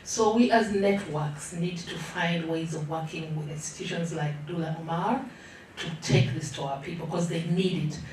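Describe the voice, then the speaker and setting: A middle-aged woman with a Ugandan accent, an association official speaking earnestly and in a measured way in an interview, with frequent ums. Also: low-pitched, a little mellow, a little hiss or hum. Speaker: local government association official. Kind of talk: testimonial interview. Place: large room. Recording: very echoey room, mic set far off.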